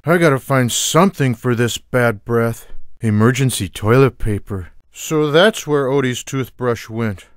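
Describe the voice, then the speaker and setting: Guy doing a lazy sarcastic cartoon house cat, deadpan drawl, wry game one-liners, really dry close sound. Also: mid-range voice, a little slow, no room sound, lightly acted.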